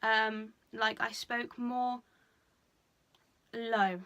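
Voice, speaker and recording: Bright-voiced young woman vlogging. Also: steady tone. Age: young adult. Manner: chatty and frank, many fillers like "um" and "like"; deliberately speaking lower than usual. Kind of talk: candid personal confession.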